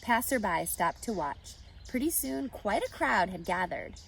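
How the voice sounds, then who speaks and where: A woman reading a children's story aloud expressively, pausing to explain and ask questions like a teacher. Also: medium pitch, animated, gravelly, fairly bright tone, a little hiss or hum, rough recording. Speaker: storybook reader.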